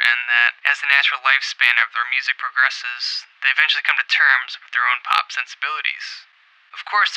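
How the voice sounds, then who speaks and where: A man reading a scripted album review as host, in a measured, articulate manner with formal wording. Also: medium pitch, sharp tone, clean tone, poor audio.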